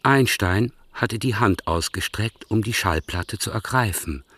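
A man narrates a radio play calmly and evenly. His voice is low and slightly husky, and he tells the scene in steady, scripted prose. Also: measured pace, no echo.

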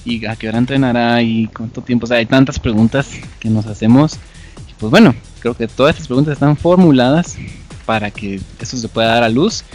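A man's voice: medium pitch, fairly quick, darkish tone, faint music behind. A man hosting a podcast from Guatemala with a Guatemalan accent, talking at length in an enthusiastic way. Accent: Guatemalan Spanish. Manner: enthusiastic, long-winded. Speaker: podcast host. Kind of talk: casual podcast interview.

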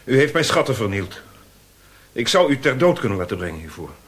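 An actor playing a proud, menacing collector in a radio play, formal and reproachful. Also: male voice, mid-range voice, big pitch swings, a little fast, fairly bright tone. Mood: outraged. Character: wounded, menacing, proud owner of treasures.

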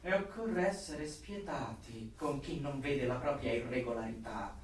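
An actor in a radio drama playing a fanatical, grandiose party intellectual who declaims emphatically and swings into exalted outbursts. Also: male voice, medium pitch, a little slow, recorded from a distance, natural voice. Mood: cold, pedantic. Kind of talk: theatrical radio drama acting. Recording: very echoey room, off the mic.

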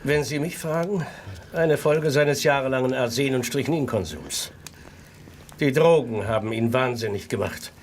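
An actor playing a grave Victorian family doctor in a radio drama, measured and matter-of-fact. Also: male voice, mid-range voice, slight background noise, close to an everyday voice. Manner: measured, clinical.

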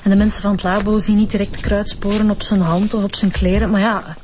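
An actress playing a matter-of-fact police detective. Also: female voice, mid-range voice, level tone, a little fast, darkish tone, a little hiss or hum, close to an everyday voice. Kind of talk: radio drama acting.

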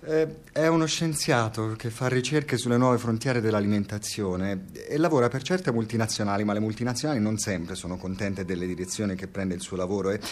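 An actor playing a frustrated, foul-mouthed scientist in an Italian radio drama, sarcastic and given to profane ranting. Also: male voice, fairly low pitch, brisk, few pauses, close to an everyday voice. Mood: reflective, explanatory. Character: frustrated, foul-mouthed male scientist turned reluctant playwright. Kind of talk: Italian radio theatre acting.

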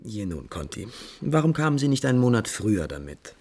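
A man playing an impulsive, refined, lovesick prince in 1950s German radio drama, theatrically shifting between musing and brisk command. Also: on the low side, big pitch swings, a little mellow, a little hiss or hum. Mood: animated, critical. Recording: 1950s radio recording with music behind.

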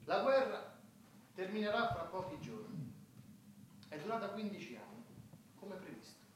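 An actor playing a wry, stubborn male underling who chronicles an absurd war in satirical theatre, with measured irony. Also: high-pitched, expressive. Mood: wry reporting. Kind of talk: satirical theatrical narration and dialogue. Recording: very echoey hall, distant from the mic.

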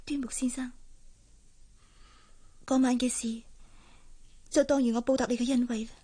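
An actress playing a young housemaid in a Hong Kong Cantonese radio drama, heartbroken and proud, speaking softly with restraint. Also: female voice, on the high side, flat delivery, normal speed, breathy edge. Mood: hurt, restrained.

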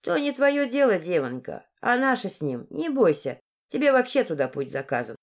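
Lady doing a cranky old village granny from a fairy tale, high and swoopy, snappy folksy grumbling. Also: sing-song, normal speed. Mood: gruff. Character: grumpy, folksy old village crone guarding a magic oven. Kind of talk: audiobook fairy-tale character voice.

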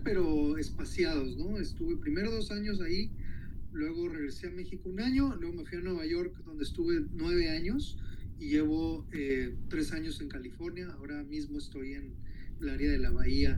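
A man's voice: fairly high pitch, background noise. A Mexican man, a filmmaker, answering interview questions in a hesitant way, with many fillers and restarts. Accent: Mexican Spanish.

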